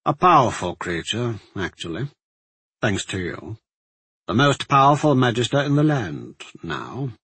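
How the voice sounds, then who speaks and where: A man voicing a smug, powerful magister in an audiobook, mockingly courteous, with a measured, sneering manner. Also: mid-range voice, sing-song, a bit bright, husky, no room sound, heavily acted. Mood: smug, gloating.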